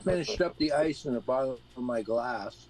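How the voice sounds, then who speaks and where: A man's voice: medium pitch, normal speed, a little mellow, rough recording. A man co-hosting a live stream, mostly chiming in with short agreements and brief remarks in casual chat. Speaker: co-host.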